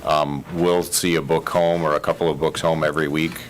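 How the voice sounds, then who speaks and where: A man's voice: extremely low pitch, flat delivery, brisk, background noise. A man on the school board asking questions with hesitant, careful phrasing. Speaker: board member. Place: meeting room.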